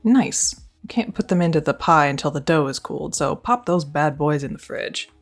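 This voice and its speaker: A woman's voice: low-pitched, expressive, normal speed. A young woman performing a scripted girlfriend roleplay, speaking close to the mic in an affectionate, teasing and reassuring way.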